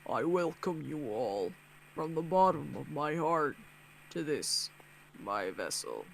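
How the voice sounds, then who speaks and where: Slow, creaky masked villain played by a man. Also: fairly high pitch, very animated, slight background noise, heavily acted, rough recording. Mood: eerie, welcoming. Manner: slow, theatrical welcome. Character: cold, eerie masked villain over a speaker, playful menace.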